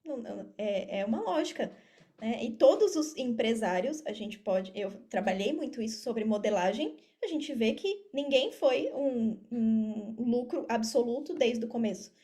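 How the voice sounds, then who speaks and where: A woman's voice: on the high side, animated, moderate pace, dark tone. A Brazilian woman giving casual, motivational interview answers as a podcast guest, emphatic and encouraging. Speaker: podcast guest, affiliate marketer. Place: remote video call.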